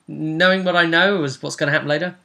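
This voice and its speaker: A man's voice: a little high, steady tone, fast, gravelly, sharp tone. A man chatting casually as game master, recapping a tabletop role-playing session and acting out bits of dialogue.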